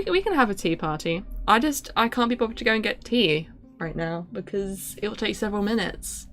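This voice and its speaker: A young woman streaming a game, chatting casually and rambling with self-mocking asides and little hummed tunes, her voice deeper than many women's. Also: fairly bright tone. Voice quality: fairly deep for a woman. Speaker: livestreamer.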